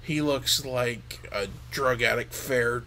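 A man's voice: mid-range voice, fairly even intonation, slow, sharp tone. A man co-hosting a comedy podcast, wisecracking and teasing his partner in casual banter. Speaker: podcast co-host.

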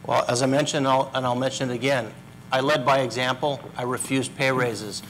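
A man's voice: medium pitch, steady tone, a little fast, a bit bright, a little hiss or hum. A middle-aged man, a political candidate in a panel debate, talking assertively and repeating himself over interruptions, on a lapel mic in a community hall. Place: community center hall with audience.

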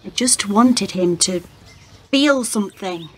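A woman's voice: mid-range voice, animated, slow, slight background noise. A woman playing a bold, sardonic 1920s working-class maid in a radio play, dry and defiant. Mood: wry.

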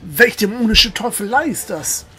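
An actor playing a pious teenage novice, earnest, urgent and righteous, in a fantasy audio drama. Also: male voice, high-pitched, animated, normal speed, sharp tone, slightly rough, exaggerated voice.

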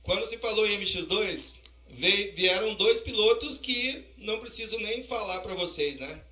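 A man's voice: high-pitched, expressive, sharp tone. A middle-aged man from Brazil announcing team riders at a press conference, speaking through a handheld microphone in an indoor press room. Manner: measured, formal explaining. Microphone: handheld mic. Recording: roomy echo.

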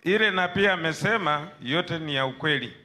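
A man's voice: fairly high pitch, animated, a little fast, pushed and bright, a little hiss or hum. A man in his forties with a Kenyan accent giving an earnest testimony to a preacher. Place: large church hall. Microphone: handheld microphone held for him.

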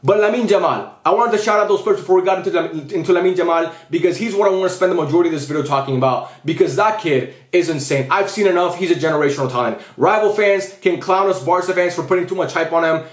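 Young male sports vlogger, 20s, high voice, emphatic and slangy, echoey small room. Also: fast talker, hardly any pauses. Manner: emphatic, slangy, repetitive, with occasional swearing. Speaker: football fan vlogger. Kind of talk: casual post-match commentary to camera. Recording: roomy indoor echo.

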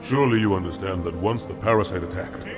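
A man's voice: low-pitched, fast, over music, close to an everyday voice. A man playing a proud, dutiful alien fleet commander in a video game, grave and formal. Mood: grave, defensive. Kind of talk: dramatic video game cutscene acting. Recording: game audio with loud background score.

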